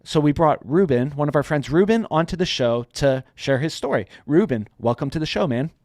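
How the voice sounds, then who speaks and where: A man hosting a podcast interview, greeting and questioning his guest in an energetic, playful way. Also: on the high side, a little fast, no echo, clean studio sound. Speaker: podcast host.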